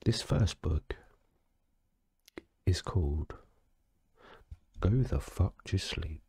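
A tipsy man reading a bedtime story in a deadpan, sweary way, stumbling now and then. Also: sits low, slightly rough. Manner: slow, sweary, deadpan, stumbling over words. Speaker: story reader. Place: alone in a quiet, dry-sounding space.

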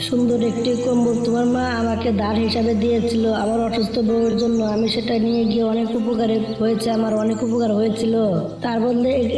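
A helpful pigeon uncle from a cartoon, put on by the narrator, talks kindly and quickly with little pitch movement. Roomy echo and music surround the voice. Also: far from the mic, lightly acted. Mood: grateful. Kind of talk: children's cartoon dub.

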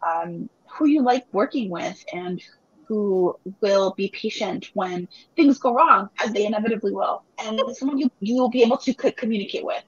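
A woman in her thirties whose first language is Spanish, an author answering students' questions in a reflective, warm way over a video call. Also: mid-range voice, normal speed, muffled recording. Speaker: author guest. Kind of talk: virtual book club Q&A. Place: home room, video call. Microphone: webcam or laptop mic on video call.

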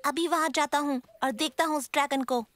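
A woman's voice: high-pitched, a little fast, lightly acted. A woman voicing a proud, touchy young boy tiger cub who boasts and sulks in a cartoon dub. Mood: boastful. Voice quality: boastful, excitable. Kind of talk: dubbed cartoon voice acting. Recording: studio voice with music behind.

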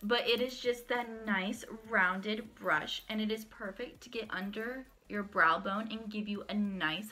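Bright-voiced woman vlogger, a bit sick. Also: medium pitch. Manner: chatty, enthusiastic, shows each brush to camera. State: sick, voice cracking. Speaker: beauty vlogger. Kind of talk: casual product walkthrough. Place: small room at home, indoors.

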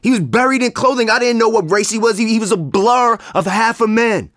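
Troubled husband, dry and close. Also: male voice, extremely high pitch, a little fast, a bit bright, dry recording. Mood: heated, exasperated. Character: troubled, conflicted husband and expectant father, protective. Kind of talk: naturalistic audio drama acting.

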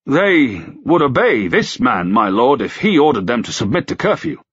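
A man's voice: mid-range voice, wildly swooping pitch, slow pace, sharp tone, slightly rough, muffled recording, voice pushed up, exaggerated voice. A man voicing a deferential medieval constable who advises his lord carefully, in audiobook dialogue. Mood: tentative.

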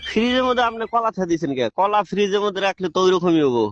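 A man acts as a male shopkeeper with a rural eastern Bengali accent, talking fast in a dull, muffled recording. He explains the same thing over and over, exasperated yet patient, in a comic phone skit. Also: high voice, animated, heavy background noise. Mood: explaining. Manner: explanatory, repetitive, hesitant restarts.

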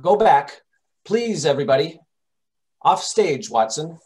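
A man playing a brisk, impatient detective who directs a rehearsal in an old radio drama style. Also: on the high side, sing-song, darkish tone. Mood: exasperated. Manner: commanding, exasperated. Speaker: actor. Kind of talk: 1930s radio acting.

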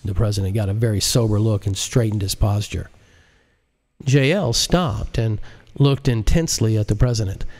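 A man's voice: fairly low pitch, normal speed, a little mellow. A man reading his own novel aloud as an audiobook narrator on a live stream.

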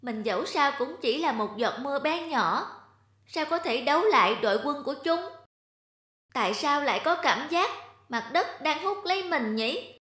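A woman's voice: sits high, animated, moderate pace, sharp tone, no echo, clean studio sound. A woman voicing a small, brave, anxious young raindrop boy in a cartoon, earnest and exclamatory. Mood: frightened, doubtful.

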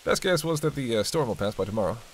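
A man's voice: medium pitch, quick pace, lightly acted. A man playing a sardonic, teasing vampire in an intimate roleplay, talking in a conversational, bantering way with dry sarcasm. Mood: musing, bantering. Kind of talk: intimate audio roleplay monologue. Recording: steady hiss and rain behind. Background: rain.

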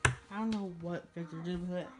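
A woman's voice: fairly low pitch, fairly even intonation, brisk, over loud music. A young woman chatting casually and rambling in an informal vlog, recorded on a handheld phone. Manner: informal, rambling, talks to a pet. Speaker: vlogger.

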